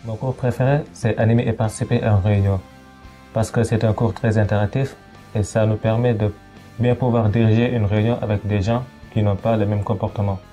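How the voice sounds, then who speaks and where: Young male student, Senegalese accent, slightly deep mellow voice, testimonial with light guitar backing. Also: fairly even intonation, brisk. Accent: Senegalese French. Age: young adult. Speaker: international student. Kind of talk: interview testimonial. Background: guitar music.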